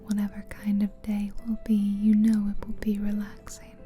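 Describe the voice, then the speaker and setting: A woman doing a soft-spoken ASMR guided visualization in a breathy whisper, soothing, with pauses between short phrases, close to the mic. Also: medium pitch, monotone. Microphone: close stereo ASMR microphone. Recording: close ear-to-ear mic, music behind.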